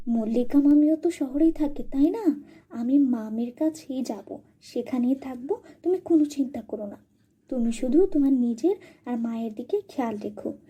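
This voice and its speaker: A woman puts on an innocent young village girl for a cartoon. The voice is high and soft-edged, a little husky. She talks with childlike earnestness, caring and determined.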